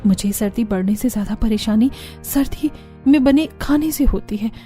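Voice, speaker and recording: A woman's voice: a little high, normal speed, mellow, soft background music, natural voice. A woman voicing a weary, evasive elder daughter-in-law in a cartoon story, grumbling and placating by turns. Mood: dread, grumbling.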